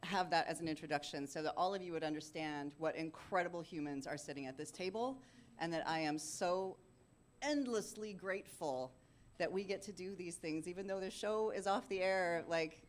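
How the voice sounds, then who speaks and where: A woman's voice: lowish voice, normal speed. A woman hosting a live fan panel, chatting casually and effusively, laughing often. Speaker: panel host and voice actor. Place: café event space with audience.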